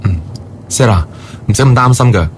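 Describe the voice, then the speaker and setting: An actor playing an earnest, deferential young manager and boyfriend in a radio drama, polite and measured. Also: male voice, mid-range voice, expressive, slight background noise, lightly acted. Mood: reassuring. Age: young adult. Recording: studio radio drama, music bed.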